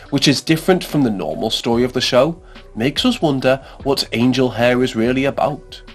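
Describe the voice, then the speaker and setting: A man delivers scripted explainer narration in a medium-pitched voice. His reading is measured and formal, like a prepared essay. Music plays behind him. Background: background music under voice-over.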